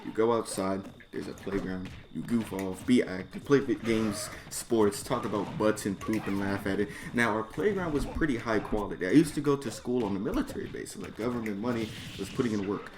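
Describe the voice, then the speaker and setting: A man with a lowish voice reads his own comedic story out loud. His delivery is slangy, sweary and exasperated. The recording has clear background noise. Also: fairly quick, few pauses. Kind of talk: reading own comedic story aloud to friends. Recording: noisy background, mouse or mic clicks.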